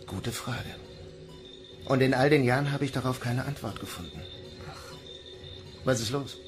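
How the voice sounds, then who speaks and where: A man's voice: medium pitch, measured pace, natural voice. An actor playing a weary, cautious man on a space station in a science fiction radio drama. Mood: wry, resigned. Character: weary, cautious male station confidant, Kirin. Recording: radio play with music bed.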